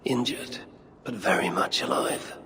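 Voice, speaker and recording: An actor playing a shrewd, gruff Norse god in a game cutscene, speaking in a deliberate, sardonic, investigative manner. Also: male voice, a loud crowd, lightly acted. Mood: pensive. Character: shrewd Norse god searching for his son, gruff and resolute. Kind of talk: dramatic game cutscene acting.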